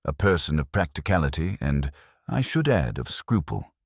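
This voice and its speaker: Man playing a deep, prim, pompous solicitor. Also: very animated, normal speed, gravelly, no echo, studio quality, heavily acted. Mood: wry, discreet. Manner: formal, fussy, precise wording. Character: prim, cautious, wryly pompous male small-town solicitor.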